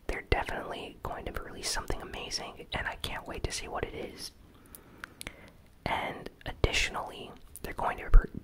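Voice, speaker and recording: A host whispering a casual, conversational ASMR gaming news recap in a breathy, unvoiced whisper. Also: bright, forceful tone, poor audio.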